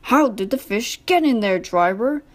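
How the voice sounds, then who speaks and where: A woman's voice: a little high, expressive, moderate pace. A woman voicing a genial, authoritative male railway controller in a storybook, speaking emphatically. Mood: astonished.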